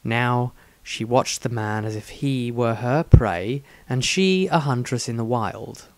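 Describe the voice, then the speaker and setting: A man's voice: mid-range voice, slow, dry recording. A man narrating a fantasy story expressively, as an author reading his own work.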